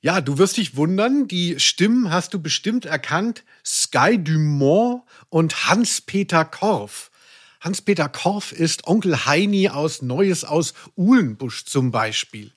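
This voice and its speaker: Higher-voiced man chatting as podcast co-host. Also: expressive, slow. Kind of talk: casual chat about audio dramas.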